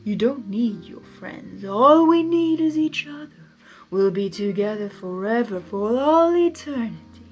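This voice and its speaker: A woman's voice: fairly high pitch, animated, measured pace, soft background music. A woman voicing a seductive, manipulative male chaos creature, coaxing and hypnotic, in a story reading.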